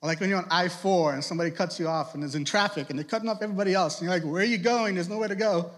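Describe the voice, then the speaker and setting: A man's voice: sits high, a bit bright. A young man from Trinidad with a Trinidadian accent touched by Boston, preaching a casual, conversational youth sermon as a ministry director. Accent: Trinidadian, with Boston influence. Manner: conversational, asks rhetorical questions, quick asides.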